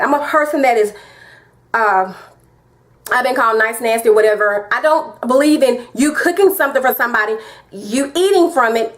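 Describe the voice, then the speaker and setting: A woman with a Southern American accent giving her opinion in a casual vlog, emphatic and repetitive, talking straight to her viewers. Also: high voice. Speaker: vlogger.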